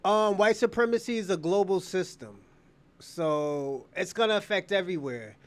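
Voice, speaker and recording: A man's voice: high-pitched, moderate pace. A man hosting a casual livestream, talking off the cuff in an opinionated, rambling way and repeating phrases. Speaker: live stream show host.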